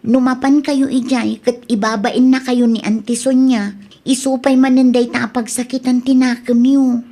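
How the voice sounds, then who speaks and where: A woman's voice: sits high, flat delivery, normal speed, mellow. A woman acting an earnest, protective adult daughter in an Ilocano-accented radio drama. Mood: worried scolding. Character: protective, indignant adult daughter fretting over her father.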